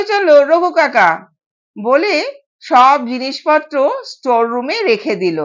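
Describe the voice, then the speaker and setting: A woman narrating a scripted audio story in a measured, expressive way, recorded with dull sound. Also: high-pitched.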